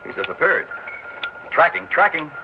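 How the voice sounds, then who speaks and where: An actor playing a commanding army general who barks orders in an old radio drama. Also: male voice, a little high, big pitch swings, normal speed. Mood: alarmed. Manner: barking orders, brusque. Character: commanding male army general, gruff and blustering. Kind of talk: 1960s radio drama acting. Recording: tinny old radio broadcast with hiss.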